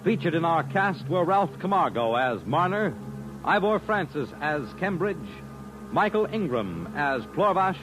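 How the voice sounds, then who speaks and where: A man's voice: fairly high pitch, sing-song, measured pace, over music, low-quality recording. A man announcing a 1950s radio program in a formal, scripted network style. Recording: vintage radio broadcast recording.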